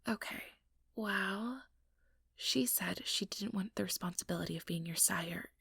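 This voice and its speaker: A woman playing an ambitious, sugary, manipulative vampire in an ASMR roleplay, speaking in a breathy, whispery voice with coaxing, condescending sweetness. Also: mid-range voice, measured pace, fairly bright tone, dry recording, clean studio sound, full character voice. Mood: falsely sympathetic. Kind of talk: ASMR roleplay, soft whispered monologue to listener.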